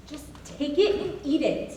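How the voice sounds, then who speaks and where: A woman's voice: sits high, unhurried, noisy background. A woman playing a haughty, irritated politician's wife in jail, curt and exasperated, in a staged reading. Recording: echoey stage from a distance.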